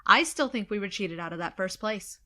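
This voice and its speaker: A woman's voice: medium pitch, lively intonation, a little fast, sharp tone. A young woman with an American accent playing a cocky, irreverent best friend who teases playfully in an audio drama. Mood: playful teasing. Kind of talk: naturalistic audio drama acting.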